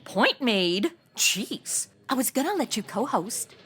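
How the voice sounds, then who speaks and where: An actress playing a flighty, self-absorbed young podcaster in a comedy audio drama, chatty, slangy and gushing. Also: female voice, on the high side, big pitch swings, slow pace, fairly bright tone, faint music behind, exaggerated voice. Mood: breezy, pouty. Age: young adult.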